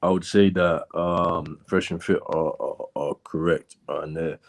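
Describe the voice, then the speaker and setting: Low-voiced guy on a podcast, stumbles at the start then gets blunt and mocking. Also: steady tone, a little slow. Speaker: podcast co-host. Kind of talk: casual chat.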